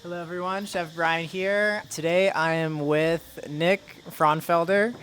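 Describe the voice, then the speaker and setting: A man hosting a casual interview as a vegan chef, easygoing and quick to laugh. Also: a little high, steady tone, a bit bright. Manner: easygoing, laughs often, short affirmations.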